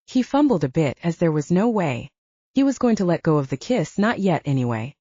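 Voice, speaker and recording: A woman narrating an audiobook in a scripted, expressive reading. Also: lowish voice, a little fast, no room sound. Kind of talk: scripted narration of a romance novel. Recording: dull lo-fi audio, dry.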